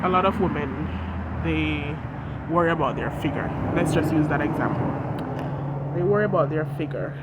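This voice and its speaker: A host with a head cold, sounding congested, giving an earnest devotional talk that reads scripture and speaks directly to viewers. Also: mid-range voice. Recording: noisy outdoor traffic background.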